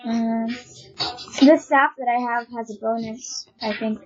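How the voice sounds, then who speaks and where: A woman's voice: on the high side, fairly even intonation, slow, dull audio, faint music behind. A woman chatting casually as a player in a tabletop role-playing game.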